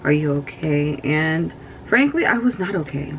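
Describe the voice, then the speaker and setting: Gravelly young woman chatting candidly. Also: low-pitched, expressive, moderate pace, a little hiss or hum, rough recording. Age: 20s. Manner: candid, chatty, swears freely, lots of fillers. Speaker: beauty vlogger. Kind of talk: casual get-ready-with-me chat.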